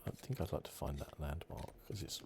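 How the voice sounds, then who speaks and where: A soft-spoken man giving relaxed, unhurried ASMR commentary as he plays a video game, thinking aloud as he goes. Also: a bass voice, gravelly, noisy background. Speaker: gaming channel host. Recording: game music behind the voice. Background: video game music.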